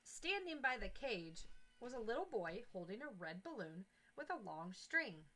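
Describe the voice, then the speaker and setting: Lady teacher doing storytime for kids, slow and expressive, really animated pitch. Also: poor audio. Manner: expressive, deliberate storytelling with emphatic phrasing. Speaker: teacher reading aloud to young children. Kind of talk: children's picture book read-aloud. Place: small indoor classroom-style corner. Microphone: headset boom mic.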